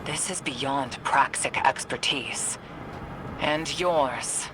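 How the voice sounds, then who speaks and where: An actress playing a stern, dutiful warrior in a video game cutscene, speaking in a clipped, businesslike way. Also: female voice, a bass voice, slow, background noise. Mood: urgent, businesslike. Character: stern, dutiful female warrior, brisk and guarded.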